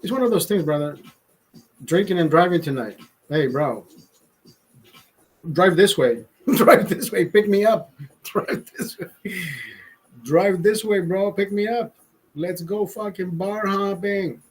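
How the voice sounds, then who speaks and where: High-voiced, rambling, profane man. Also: unhurried, pauses often. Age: 40s. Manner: rambling, profane, repeats and restarts phrases; loud emphatic motivational rants. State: drinking beer, a bit tipsy. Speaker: livestreamer. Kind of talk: casual livestream chat.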